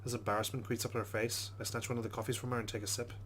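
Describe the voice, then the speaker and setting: A man's voice: mid-range voice, flat delivery, racing, fairly bright tone, a little hiss or hum. A man hosting a let's play, giving casual game commentary and reading the game's text aloud.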